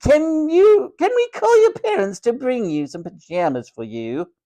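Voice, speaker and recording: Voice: high voice, sing-song, unhurried, warm tone, voice pushed up. A storyteller voicing a cruel, sneering hospital matron, a domineering woman who snaps and mocks. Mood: disgusted, demanding. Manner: snapping, mocking, sarcastic, domineering.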